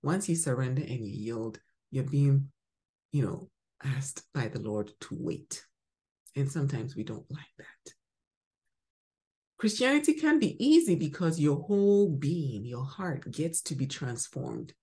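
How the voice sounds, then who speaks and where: Deep-voiced, lively woman from Ghana. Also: measured pace. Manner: emphatic, swinging from bright exclamations to soft murmurs. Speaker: devotional podcast host. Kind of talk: spoken devotional teaching.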